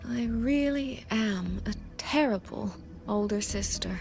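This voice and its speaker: A woman's voice: mid-range voice, takes it slowly, creaky, a little mellow, faint music behind. A woman acting a grave, restrained older sister in a video game, regretful and searching.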